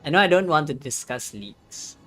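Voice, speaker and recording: Game streamer, casual commentary, hesitant, very lively pitch, faint music behind. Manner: hesitant, halting, thinking aloud. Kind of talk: casual live gameplay commentary.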